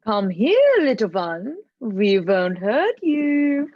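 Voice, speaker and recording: A woman's voice: mid-range voice, sing-song, takes it slowly. A woman acting a domineering, menacing older mother who scolds and commands in a script table read. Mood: coaxing menace. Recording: dull, roomy home recording.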